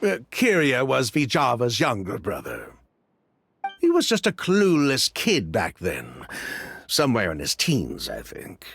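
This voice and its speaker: An actor playing an evasive ex-convict perfume swindler in a video game, speaking in a theatrical, glib, defensive way. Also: male voice, medium pitch, wildly swooping pitch, a little slow, gravelly, a bit bright, husky, full character voice. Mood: grave recounting.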